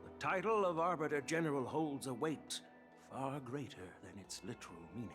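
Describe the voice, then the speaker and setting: An actor playing an old grandfatherly general in a video game, speaking in a measured, formal and weighty way. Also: mid-range voice, fairly bright tone, natural voice. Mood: solemn. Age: older. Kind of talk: video game character dialogue. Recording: music behind the voice.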